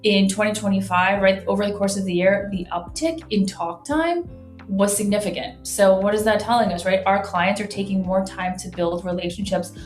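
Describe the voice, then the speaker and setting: Woman interview guest, roomy echo, mid pitch, conversational explainer, faint music. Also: steady tone, moderate pace. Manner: conversational, frequent "like" and "right?" tags. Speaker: guest, marketing executive. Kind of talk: explanatory business interview talk. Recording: roomy, echoey sound.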